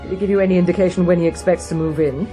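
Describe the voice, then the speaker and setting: An actress playing a wry, concerned middle-aged British mother in a radio drama, dry, questioning and gently disapproving. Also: lowish voice, fairly even intonation, very fast, music in the background, lightly acted. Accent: British English.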